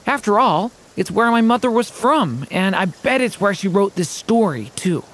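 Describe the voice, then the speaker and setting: A woman's voice: mid-range voice, animated, normal speed, lightly acted. A woman playing an earnest, determined young daughter on a quest in a video game, speaking sincerely. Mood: earnest, apologetic. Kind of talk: video game cutscene acting. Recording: faint music behind the voice.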